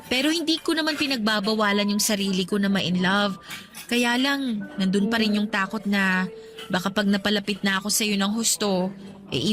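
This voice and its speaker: A woman's voice: mid-range voice, natural voice. An actress playing a guarded, devoted young working woman in a radio romance drama, speaking earnestly and apologetically. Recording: soft music bed underneath. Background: music.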